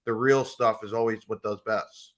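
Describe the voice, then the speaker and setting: A man's voice: lowish voice, steady tone, moderate pace, a bit bright. A man hosting an interview podcast, agreeing and encouraging his guest in casual chat. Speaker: podcast host.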